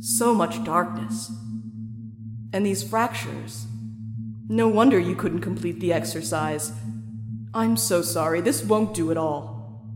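A woman playing a sinister self-help guide spirit in an audio drama, speaking in a measured, formal, patronizing way with false sympathy. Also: on the low side. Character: sinister female self-help guide spirit, soothing, condescending, coldly predatory. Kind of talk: audio drama monologue, guided-meditation parody. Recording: echoey reverberant hall effect, music underneath. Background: music.

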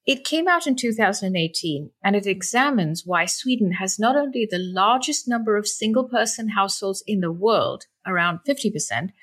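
A woman hosting an interview, introducing her guest and asking questions in a measured, conversational way. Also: medium pitch, a bit bright, husky. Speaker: host. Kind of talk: radio-style interview.

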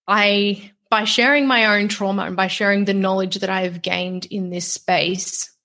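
A woman's voice: medium pitch, a bit bright. An Australian woman, a young adult, talking openly and earnestly as a guest on a podcast interview. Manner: talkative, earnest, open.

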